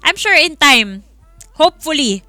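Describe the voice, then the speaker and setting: A woman's voice: high-pitched, sing-song, moderate pace, sharp tone, no room sound. A woman with a Filipino accent hosting an esports panel, giving casual, encouraging and emphatic analysis. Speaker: esports caster and panel host. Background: faint background music.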